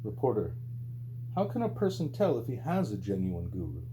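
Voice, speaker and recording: Guy reading a spiritual audiobook, soft-edged mellow voice, bit of hum in the background. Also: huge pitch swings, moderate pace, rough recording. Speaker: audiobook reader. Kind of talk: scripted narration of a spiritual book. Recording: low hum and background noise.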